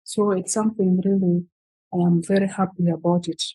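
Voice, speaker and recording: 20s woman from south sudan, talks in stops and starts, mellow husky voice. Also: on the low side, little pitch movement, no echo, low-quality recording. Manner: halting, many fillers and restarts. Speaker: guest, footballer. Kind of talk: virtual interview answers.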